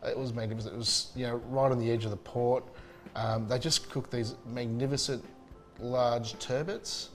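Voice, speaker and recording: A man's voice: medium pitch, steady tone, moderate pace, soft background music. A man, a chef chatting casually and enthusiastically in an interview, with many fillers and restarts. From Spain.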